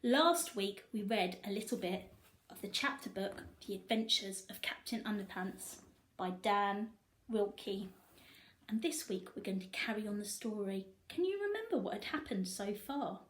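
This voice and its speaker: A woman reading a children's storybook expressively, pausing to put questions to her young listeners. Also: mid-range voice, poor audio. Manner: animated, asks listeners questions.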